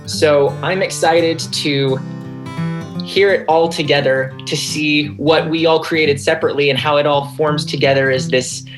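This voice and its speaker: A young man talks casually as an interviewed actor, reflecting on his work. Plucked guitar music plays clearly behind his voice. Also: a little high, fairly even intonation, unhurried. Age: young adult. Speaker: voice and stage actor, interview guest.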